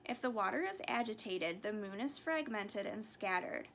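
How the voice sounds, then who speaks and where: A woman reading a storybook aloud in an expressive narrating style with careful phrasing. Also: medium pitch, moderate pace, a little hiss or hum. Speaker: storybook reader.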